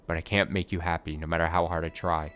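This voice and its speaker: A man's voice: low voice, little pitch movement, fast, a bit bright, slight background noise. A man voicing a tormented young knight, speaking in a solemn, tender way that sometimes turns shaky. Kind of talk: dramatic reading of a story.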